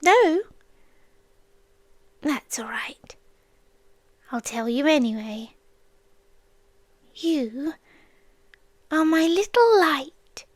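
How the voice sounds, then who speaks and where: Casting: woman as possessive yandere water demon, British, ASMR roleplay. Extremely slow, soft whispery coaxing, tender yet threatening, very close and dry. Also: high-pitched, animated, no echo, exaggerated voice. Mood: softly menacing. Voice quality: soft, breathy, often whispered. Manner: slow, coaxing, sweetly menacing with long pauses. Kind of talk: ASMR roleplay, soft intimate delivery often dropping to a whisper.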